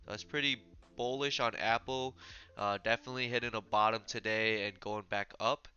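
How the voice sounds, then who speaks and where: Male host, stuffy from a cold, bright crisp tone, loose tutorial delivery, lo-fi mic. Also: lowish voice, fairly even intonation, moderate pace. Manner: casual, filler-heavy explaining with frequent "uh". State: stuffy, a little sick. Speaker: trading channel host. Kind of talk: screen-share trading tutorial.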